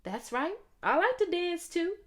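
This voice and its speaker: A woman voicing a sweet, wise young nanny in a storybook, gentle, earnest and expressive. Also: extremely high pitch, measured pace, voice pushed up, heavily acted. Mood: playful.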